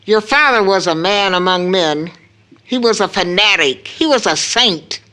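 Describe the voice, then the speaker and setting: Slow, solemn mother. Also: medium pitch, animated, bright, forceful tone. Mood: anguished, grave. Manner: solemn, deliberate, emphatic. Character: grave, anguished mother baring a long-kept family secret. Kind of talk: stage audio drama acting.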